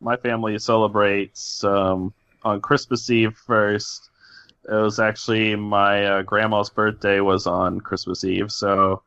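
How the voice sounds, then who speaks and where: A man's voice: fairly low pitch, a bit bright, clean tone, no echo. A man chatting casually as a podcast co-host, recorded with slightly dull, lo-fi audio. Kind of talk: casual chat.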